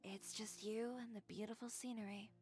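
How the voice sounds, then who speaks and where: A woman's voice: mid-range voice, level tone, moderate pace, a little mellow, slightly rough, soft background music, close to an everyday voice. A woman voicing a confident, blunt, self-assured young fashion designer in a video game. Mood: calm. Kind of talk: English video game voice acting.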